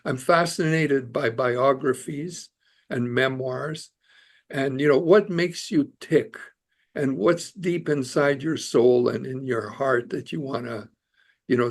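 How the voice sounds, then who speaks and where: An older Canadian-born man, an immigration lawyer and author, talking earnestly as a podcast guest with many fillers and restarts. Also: medium pitch. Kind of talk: casual interview conversation.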